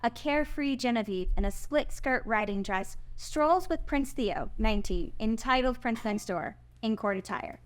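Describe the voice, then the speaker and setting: Woman, fairly high voice, scripted narration, stage directions, table read. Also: lively intonation, normal speed, few pauses.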